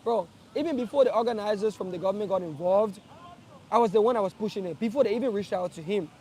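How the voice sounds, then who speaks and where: A man's voice: sits high, fast, dark tone, slight background noise. A young man from Liberia, speaking earnestly to his followers in a casual live address, often repeating and restarting phrases. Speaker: streamer.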